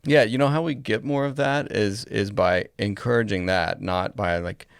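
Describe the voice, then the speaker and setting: A man's voice: on the low side, moderate pace, creaky, smooth, no echo, clean studio sound. A man speaking casually as a co-host in a conversational podcast discussion.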